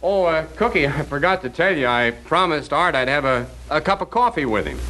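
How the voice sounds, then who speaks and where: An actor playing a nervous, stammering out-of-town husband in a 1950 radio drama, putting on false cheer. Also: male voice, on the high side, big pitch swings, a little fast, sharp tone, rough recording. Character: nervous out-of-town married man. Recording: old radio broadcast with faint noise.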